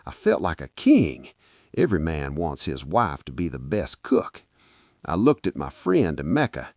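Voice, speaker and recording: A man's voice: extremely low pitch, huge pitch swings, measured pace, a little mellow, husky, no echo, studio quality. A man with a Nigerian accent narrating a folktale dramatically in the first person, as a podcast storyteller.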